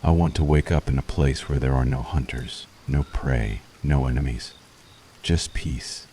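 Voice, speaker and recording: Very deep, brooding witch hunter acted by a man. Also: fairly even intonation, measured pace, mellow, a little hiss or hum. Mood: yearning, earnest. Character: weary, conflicted male witch hunter yearning for peace, tender toward enemy. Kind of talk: ASMR boyfriend roleplay, intimate scripted monologue.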